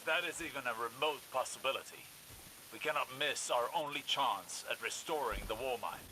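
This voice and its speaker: An actor playing a weary, obsessed older warlock scholar in a video game, speaking in clipped, formal, portentous phrases. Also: male voice, mid-range voice, normal speed, slight background noise, natural voice, low-quality recording. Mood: grave, wary. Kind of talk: dramatic video game dialogue. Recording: loud music behind the voice.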